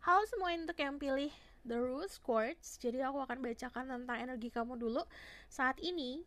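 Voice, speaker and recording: Hoarse-voiced woman reading tarot. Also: high voice, moderate pace, fairly bright tone, dry recording. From Indonesia. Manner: chatty, switches between Indonesian and English phrases. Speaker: tarot reader. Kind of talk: casual tarot reading to viewers.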